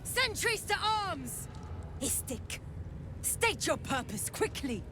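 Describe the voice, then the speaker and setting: A woman's voice: very high-pitched, sing-song, slow, over music, full character voice. A woman voicing a hostile, scornful alien warrior guard who barks curt orders in a fantasy game. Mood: alarmed, hostile. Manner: barking, imperious, curt.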